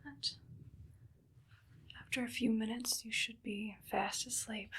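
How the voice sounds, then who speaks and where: A woman voicing a coolly menacing evil doctor in an ASMR roleplay, soft-spoken, breathy and unhurried. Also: fairly high pitch, fairly even intonation, exaggerated voice. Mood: calm, menacing. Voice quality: breathy, whispery, creaky. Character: evil female doctor, coolly menacing, controlling mad scientist. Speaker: ASMR performer.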